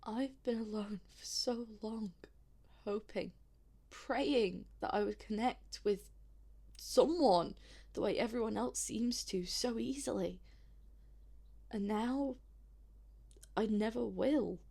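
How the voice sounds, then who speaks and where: A woman's voice: mid-range voice, animated, a little slow. A woman playing a sarcastic, wary, lonely but plucky human in an audio drama, in a naturalistic, informal and emotive way. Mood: despairing.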